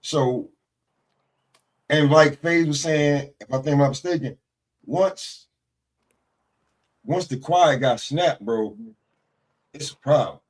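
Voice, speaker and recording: A man's voice: a little high, slow pace, pauses often. A man in his forties co-hosting a boxing stream, rambling and joking in casual banter. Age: 40s. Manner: joking, rambling, calls others bro. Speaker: stream co-host.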